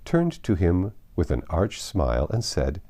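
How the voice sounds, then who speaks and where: A man narrating a classic novel aloud as an audiobook, telling the story in measured phrases. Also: deep voice, huge pitch swings, mellow.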